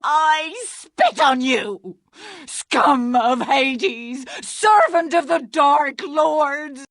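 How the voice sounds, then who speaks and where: Very high, shrill hostile townswoman. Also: very slow, fairly bright tone, no echo, heavily acted. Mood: furious, fearful. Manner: shrill, dramatic denouncing. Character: hostile, superstitious townswoman, fantasy game.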